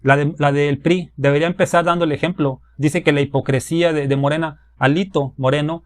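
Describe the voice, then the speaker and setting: A man's voice: on the high side, fairly even intonation, fairly quick, no echo, clean studio sound. A man on a political debate panel, arguing in a blunt, accusatory, colloquial way. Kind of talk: political argument.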